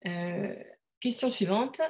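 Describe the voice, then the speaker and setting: A woman's voice: medium pitch, slow, a little mellow. A woman leading an online teaching workshop over a video call, talking casually with many fillers and restarts. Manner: hesitant, many fillers, conversational. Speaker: workshop leader.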